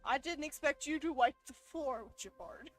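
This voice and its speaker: Animated, high-voiced woman commenting on gameplay. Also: moderate pace. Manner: chatty, talking to the game. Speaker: guest gamer playing on partner's channel. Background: game music.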